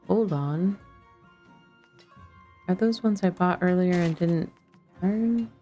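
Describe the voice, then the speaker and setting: Woman game streamer, really slow n relaxed, mumbly musing to herself in bits, some music in the background. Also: fairly low pitch. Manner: musing, talks to herself in short fragments. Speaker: streamer playing an online fantasy game. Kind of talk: casual gameplay chat, thinking aloud.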